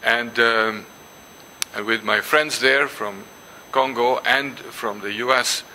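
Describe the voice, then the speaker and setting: An older man whose first language is Dutch gives a symposium lecture, pausing often with ums and restarts and reading passages aloud. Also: mid-range voice, steady tone, sharp tone, a little hiss or hum, low-quality recording. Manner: hesitant, many ums and restarts. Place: lecture hall.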